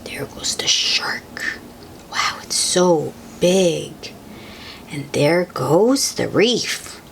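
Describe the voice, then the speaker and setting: A woman reads aloud to young children at a very slow, deliberate pace. Her slightly low voice moves with lively intonation as she comments simply and invites the child to look and wonder. Faint noise sits behind her. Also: creaky, in a whisper. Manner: child-directed, asks the listener questions, exclaims in wonder. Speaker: host reading picture books aloud to children.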